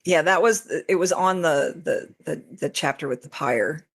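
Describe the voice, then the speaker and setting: A woman's voice: sits low, normal speed. A woman talking casually as an interview guest about her own writing. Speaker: podcast guest, fanfiction author.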